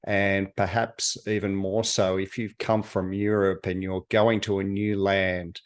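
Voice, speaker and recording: A man interviewing a guest, gently prompting with short agreements. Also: deep voice, level tone, unhurried, smooth. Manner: gentle, encouraging, many mms. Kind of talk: oral history interview.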